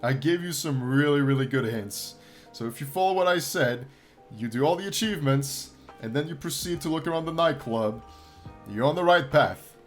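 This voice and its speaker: A man hosting a gaming channel, hoarse and tired, talking his viewers through a game feature in an upbeat, encouraging way. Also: a little high, expressive, normal speed, faint music behind. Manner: upbeat and encouraging, with frequent laughs and throat clearing. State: tired after a long stream. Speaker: YouTube gaming channel host. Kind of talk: casual gameplay commentary to viewers.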